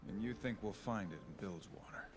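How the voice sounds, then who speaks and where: A man's voice: lowish voice, brisk, music in the background, close to an everyday voice. An actor playing a brooding, remorseful exiled swordsman in a game cinematic, grave and terse. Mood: weary, wary. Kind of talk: dramatic game cinematic acting.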